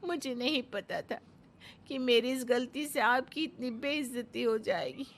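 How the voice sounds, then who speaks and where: A woman's voice: a little high, a little slow, a little hiss or hum. A woman voicing an earnest, polite young village daughter-in-law in a cartoon moral story. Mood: apologetic.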